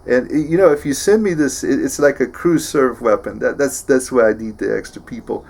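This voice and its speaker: A man playing a slow-witted, tough action-movie hero who stammers and mumbles through favours and boasts in a comedy sketch. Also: medium pitch, vocal fry, a little mellow. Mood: dim-witted bragging. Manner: halting, mumbling, stammering braggadocio.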